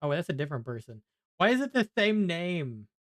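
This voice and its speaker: A man's voice: fairly high pitch, sing-song, fairly quick, a bit bright. A man casually streaming a video game, rambling half to himself and half to his viewers. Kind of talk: casual live gameplay chat.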